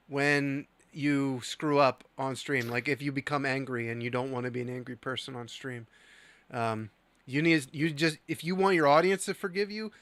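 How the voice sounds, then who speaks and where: A man's voice: mid-range voice, fairly bright tone. A man co-hosting a casual podcast, earnestly giving advice on streaming with lots of fillers. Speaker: podcast co-host.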